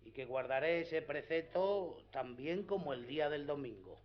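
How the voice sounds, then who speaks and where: Peasant squire , male actor: lighter-pitched, deferential, cautious, earthy radio-play voice, a bit dull in sound. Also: expressive, moderate pace, lightly acted. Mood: deferential, agreeable. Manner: deferential, plain-spoken, earnest. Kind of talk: radio drama acting. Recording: dull, muffled radio recording.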